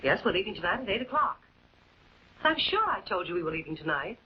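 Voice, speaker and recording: Low-voiced proper lady, old film sound. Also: very animated, fast talker. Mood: insistent. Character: polite, proper lady of the house, curt when crossed. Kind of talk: 1940s television crime drama acting. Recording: muffled, noisy old 1940s film soundtrack.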